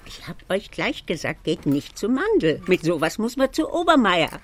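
A stubborn older lady in a German radio play. Her lowish voice swings up and down as she carps and repeats her disdain. There is faint noise on the recording. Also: sing-song, moderate pace. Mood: disdainful, nagging. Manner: carping, repetitive. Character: grumbling older woman relative, stubborn and disdainful shopper.

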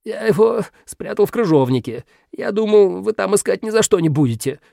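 A man's voice: high-pitched, expressive, brisk, gravelly, mellow, no echo, studio quality, pitched above the performer's own voice. A man voicing a sly, whiny little minus sign, a wheedling troublemaker in a children's story. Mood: sheepish. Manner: wheedling, pleading, evasive.

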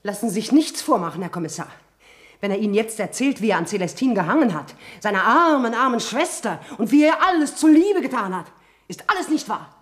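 Actress as bitter, high-voiced woman with swooping delivery. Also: animated, a little fast, close to an everyday voice. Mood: bitter, scornful. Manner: sharp-tongued, insistent, scornful. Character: bitter, accusing sister, hard and spiteful. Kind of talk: German radio crime play acting.

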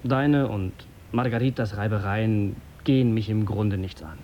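An actor playing a condescending, self-important middle-aged radio editor in a German radio drama, pompous, impatient and sarcastic. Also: male voice, lowish voice, unhurried, dull audio, a little hiss or hum, natural voice. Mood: stern.

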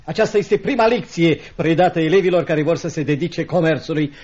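An actor in a Romanian radio play as a pompous, greedy middle-aged schoolmaster with a grandiloquent, unctuous manner. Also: male voice, on the high side, darkish tone, lightly acted. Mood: oily, self-important. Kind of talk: Romanian radio theatre acting. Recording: dull, muffled old radio recording.

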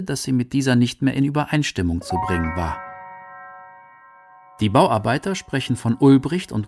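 Man, scripted documentary narration, medium pitch, prominent music behind. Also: gravelly. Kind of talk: scripted radio feature narration.

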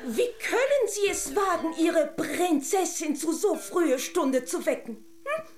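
High, swooping, haughty doll princess. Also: female voice, big pitch swings, measured pace, reverberant, faint music behind, full character voice. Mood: indignant, imperious. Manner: imperious, grandiose, easily indignant. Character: haughty, theatrical princess doll come to life. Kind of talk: children's radio drama acting.

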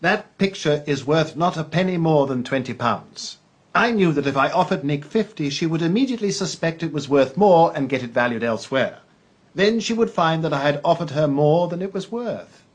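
Suave, husky, higher-voiced art dealer. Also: male voice, animated, moderate pace. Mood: wry, confiding. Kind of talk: radio drama acting.

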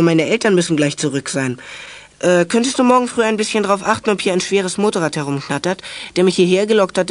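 A young male actor playing an eager teenage boy radio detective in a children's audio drama, speaking earnestly. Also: high-pitched.

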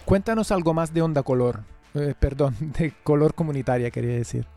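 Mellow, higher-pitched male radio interviewer. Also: a little fast, faint music behind. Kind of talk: conversational interviewing.

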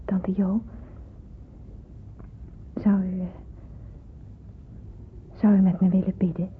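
A woman's voice: mid-range voice, flat delivery, takes it slowly, close to an everyday voice. An actress as an earnest young woman, tinny sound. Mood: earnest, hesitant. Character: earnest, uneasy young woman fleeing by train in wartime. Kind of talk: Dutch radio drama acting. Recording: noisy, phone-like old radio play recording.

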